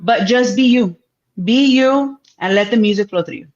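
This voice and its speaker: A woman's voice: fairly high pitch, normal speed, darkish tone, highs cut off. A woman musician guesting on a radio show, speaking in an earnest, reflective way. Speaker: band member, guest. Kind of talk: radio interview.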